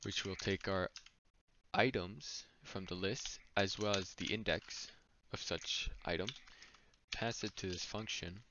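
A man's voice: low-pitched, steady tone, unhurried. A man explaining code as he types, thinking aloud with small slips. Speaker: coding tutor. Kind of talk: screen-recorded coding walkthrough. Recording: dull lo-fi mic with noise. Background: keyboard typing and clicks.

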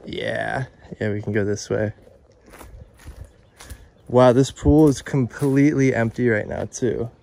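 A young man in his twenties vlogging by phone at arm's length outdoors, talking in an excited, chatty way full of "dude" and "like". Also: mid-range voice, takes it slowly, gravelly, long pauses. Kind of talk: casual vlog narration. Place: outdoors at an abandoned waterpark. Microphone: phone held at arm's length.

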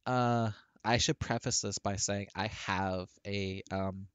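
A deep-voiced man speaks slowly and takes his time, restarting his sentences as he walks through a software setup. His headset microphone picks him up dry and close, with no room sound around him. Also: steady tone, smooth, no room sound. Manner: rambling with frequent restarts and fillers. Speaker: tech tutorial creator. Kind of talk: casual screen-recorded software tutorial.

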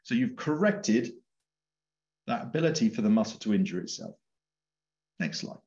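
A man's voice: lowish voice, big pitch swings, normal speed, a little mellow. Man explaining over dull call audio. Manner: explanatory, with fillers and restarts. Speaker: chief scientific officer. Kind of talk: webinar presentation. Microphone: webcam on a video call.

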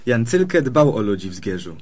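A man's voice: medium pitch, big pitch swings, moderate pace, a little mellow. A man playing a friendly, peaceable fox who tells stories politely and evenly in a children's audio play. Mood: storytelling. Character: friendly, peaceable male fox recounting local history.

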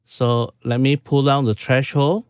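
A man's voice: medium pitch, level tone, unhurried, a little mellow, clear, resonant tone, no echo, clean studio sound. A man in his fifties from Singapore, walking through audio settings in a casual tutorial, peppered with "okay". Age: 50s. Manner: informal, step-by-step, frequent "okay"s. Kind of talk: casual screen-share tutorial. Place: small room at home.

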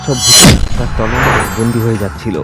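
A man's voice: mid-range voice, fairly even intonation, fast. Man narrating a horror cartoon over music. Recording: music behind the voice.

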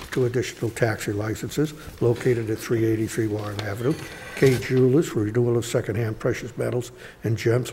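Man reading council agenda items aloud. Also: mid-range voice. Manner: steady, measured recitation. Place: council chamber, wood-paneled room. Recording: reverberant chamber with faint hiss.

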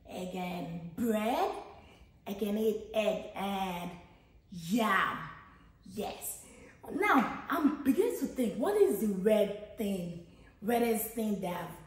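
A woman in her thirties from Nigeria with a Nigerian accent, chatting casually as a vlogger and thinking aloud with frequent restarts. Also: mid-range voice. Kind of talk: casual get-to-know-me chat. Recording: very echoey room.

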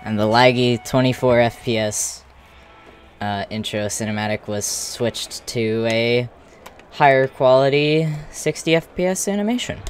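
A man's voice: medium pitch, unhurried, soft background music. A young man giving casual, informal gameplay commentary in a rambling way, recorded in a small room at home. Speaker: gaming video creator.

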